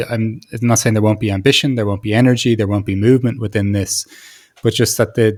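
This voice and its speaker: A man with a fairly low voice speaks as a podcast host in a reflective conversation. He feels his way toward his point, halting and rephrasing mid-sentence as he goes. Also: darkish tone.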